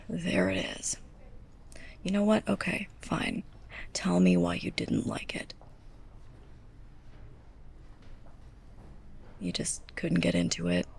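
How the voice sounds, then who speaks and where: A young woman playing a sarcastic, scolding, snobbish librarian's assistant in a close-up ASMR roleplay, breathy and often near a whisper. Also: lowish voice, a little mellow, heavily acted. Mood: incredulous, sarcastic. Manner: sarcastic, exasperated, pointed delivery.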